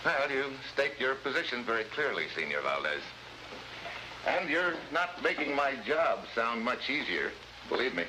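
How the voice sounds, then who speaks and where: An actor playing a wry, tough American special agent in a 1950s spy drama, with an American accent and sardonic delivery. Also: male voice, mid-range voice, big pitch swings, moderate pace, lightly acted. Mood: businesslike. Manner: wisecracking, sardonic, hard-boiled. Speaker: actor in the lead role. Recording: noisy vintage 1950s broadcast recording.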